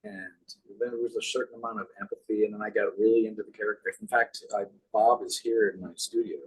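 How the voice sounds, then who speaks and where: A man with a low, distinctly husky voice talks casually as an interview guest. He reminisces like an animated storyteller, in a loose conversational style. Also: fast talker, low-quality recording. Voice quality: deep, husky. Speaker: guest, puppeteer.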